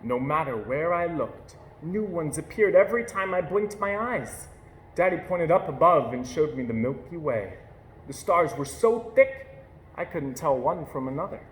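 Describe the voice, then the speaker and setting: A man reading a children's picture book aloud with gentle, measured storytelling. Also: on the high side, animated. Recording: echoey room with faint hiss.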